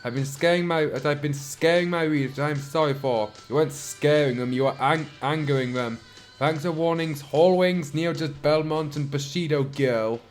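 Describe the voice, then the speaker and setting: A man with a slightly high voice and a sore throat reads fan fiction aloud. He keeps cutting in with dry, sarcastic remarks and clears his throat a lot. Faint music plays softly underneath. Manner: dry, mocking asides, frequent throat clearing. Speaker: channel host reading fan fiction. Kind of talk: dramatic reading with sarcastic commentary.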